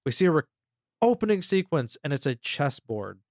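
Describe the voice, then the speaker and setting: A man's voice: a little high, very animated, moderate pace, a little mellow, no room sound, clean studio sound. A man co-hosting a podcast, recapping a story in a rambling, casual way and swearing freely. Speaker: podcast co-host.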